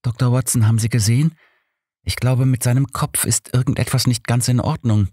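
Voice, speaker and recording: A man voicing a caring, observant woman in an audiobook, gentle and polite. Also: medium pitch, steady tone, a little fast, breathy edge, no room sound, clean studio sound, pitched above the performer's own voice, natural voice. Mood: concerned. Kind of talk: audiobook character dialogue.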